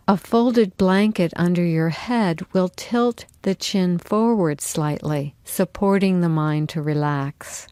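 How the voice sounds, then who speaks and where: A woman teacher leading a guided yoga relaxation in a soothing, unhurried, instructional manner. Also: on the low side, darkish tone, smooth, no room sound.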